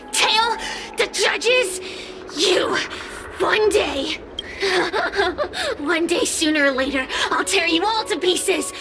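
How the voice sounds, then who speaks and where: A woman's voice: very high-pitched, full character voice. A woman voicing an ancient, malevolent flame spirit in a young girl's body, theatrical, defiant and taunting, for a video game. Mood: raging, vengeful. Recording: music under the voice.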